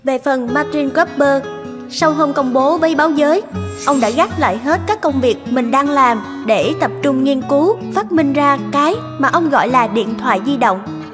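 A woman's voice: high voice, normal speed. A woman reading scripted storybook narration for a cartoon. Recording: long reverb, prominent guitar music.

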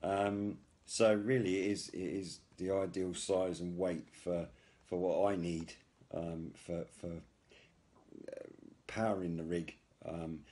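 A middle-aged British man giving a casual product demonstration in a halting, hesitant way, as a video host. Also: deep voice, dark tone.

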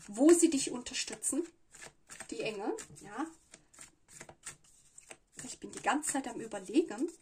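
A fairly high, breathy woman talks through a tarot spread to camera. She chats along loosely, pausing to search for words and tossing in little "ja" fillers. It sounds like a small room at a table. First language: Italian. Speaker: tarot reader. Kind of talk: casual tarot reading to camera.

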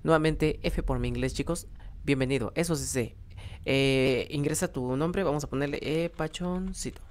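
A man's voice: on the high side, moderate pace. A young adult man with a Mexican accent giving casual, self-deprecating gameplay commentary, rambling and thinking aloud. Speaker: gaming YouTuber, host. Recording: background music under voice. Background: music.

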